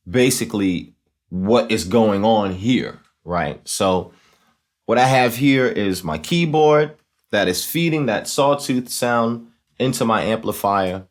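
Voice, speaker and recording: A man hosting an instructional series, explaining things in a casual, conversational way and often checking in with the listener. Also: lowish voice. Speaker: host of a talk box tutorial series. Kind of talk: casual explanatory tutorial talk.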